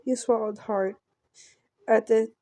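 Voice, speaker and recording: A woman reading visual novel text aloud in an amateur let's play, halting and often repeating words as she goes. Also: on the high side, steady tone, warm tone, no echo.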